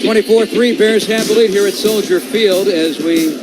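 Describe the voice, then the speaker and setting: An American man calling a football game live on radio in an excitable, punchy manner. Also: sits high, normal speed, loud music behind the voice, low-quality recording. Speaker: play-by-play radio announcer. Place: stadium broadcast booth. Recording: loud stadium noise behind. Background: stadium ambience.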